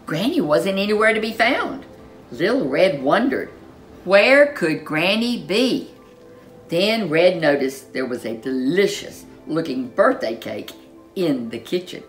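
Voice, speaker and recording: A woman storyteller reading a children's storybook in an expressive, animated way, with dramatic emphasis. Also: fairly low pitch, slow pace, faint music behind.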